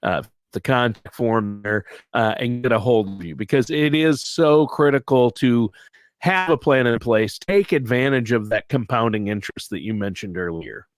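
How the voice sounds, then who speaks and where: A man's voice: mid-range voice, moderate pace, no echo. A man co-hosting a finance talk show, chatting casually and prompting questions. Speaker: co-host.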